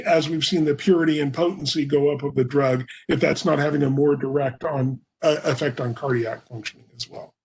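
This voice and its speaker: Hoarse man lecturing in a webinar. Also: a little high, darkish tone. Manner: measured, explanatory, frequent fillers. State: hoarse, coughing. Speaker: presenter. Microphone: computer microphone.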